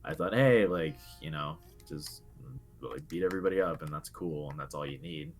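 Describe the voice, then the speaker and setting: Young guy w really deep voice, podcast game chat, kinda earnest n thoughtful, music in the background. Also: big pitch swings, moderate pace, gravelly. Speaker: podcast co-host. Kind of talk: casual video game discussion.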